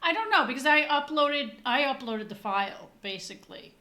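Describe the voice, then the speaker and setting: A woman's voice: sits high, expressive, moderate pace, bright, forceful tone, roomy sound. A woman co-hosting a casual tech podcast, a Danish and English speaker, explaining her tests in a chatty, trailing way. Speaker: podcast co-host.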